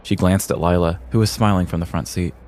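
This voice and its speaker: Very deep-voiced American man narrating. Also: steady tone, fairly quick, darkish tone, a little hiss or hum. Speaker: podcast host telling a story. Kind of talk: scripted comedic narration.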